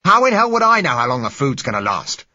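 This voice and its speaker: A fiery street agitator of about thirty, as a male narrator puts him on, loud and raised well above the reader's own low voice. His speech mixes the rough and the educated, harsh and emphatic. The audiobook recording is dry and a little dull. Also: very animated, quick pace, no room sound, full character voice. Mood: contemptuous. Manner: rough yet educated, shouting passionately.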